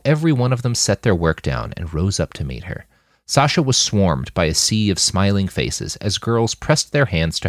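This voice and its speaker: A man's voice: fairly low pitch, no echo, studio quality. A man reading scripted fiction as an audiobook narrator. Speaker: author narrating his novel.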